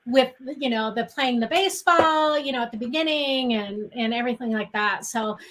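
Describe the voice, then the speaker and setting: A middle-aged woman with an American accent chats casually as a guest. Her voice is fairly high for a woman and strikingly bright and forward. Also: normal speed. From Colorado, United States. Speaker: interview guest, writer. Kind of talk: casual chat.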